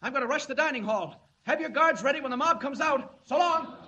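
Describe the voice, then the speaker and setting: Casting: brisk, resolute newsman in old radio serial; very high, forceful tone. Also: highs cut off, slight background noise. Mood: urgent. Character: urgent, brisk, determined male newspaper reporter. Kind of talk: 1940s radio serial acting.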